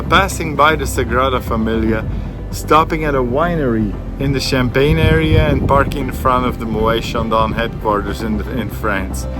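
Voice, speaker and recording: A man from Belgium in his forties, vlogging casually to a handheld camera in an upbeat, chatty way with fillers and restarts. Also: medium pitch. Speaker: travel vlogger. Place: home kitchen and inside a camper van. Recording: music clearly under voice. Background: background music.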